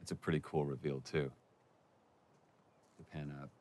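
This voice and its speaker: A man's voice: very deep voice, fairly even intonation, moderate pace, a little mellow. A man chatting in casual commentary, conversational and appreciative in manner, with noticeable background noise. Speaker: commentary participant.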